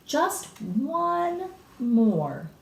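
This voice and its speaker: Woman reading as a grave, emphatic old grandmother, lively pitch. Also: vocal fry, a little hiss or hum, exaggerated voice. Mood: solemn, knowing. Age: older. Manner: deadly serious, emphatic, drawn-out key words. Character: grave elderly grandmother solemnly warning about witches. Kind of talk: dramatic children's storybook reading. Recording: roomy echo, distant mic.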